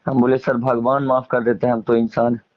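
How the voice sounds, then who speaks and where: A man's voice: medium pitch, little pitch movement, a little fast, highs cut off. A man with a Bihari accent speaking casually as an interviewee, emphatically airing grievances in colloquial, repetitive phrasing. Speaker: interviewee, singer and stage performer.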